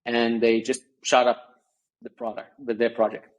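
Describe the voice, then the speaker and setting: A man, a guest chatting on a video call in a halting way with many fillers and restarts. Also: medium pitch, little pitch movement, poor audio. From Israel. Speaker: guest founder. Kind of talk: casual interview chat.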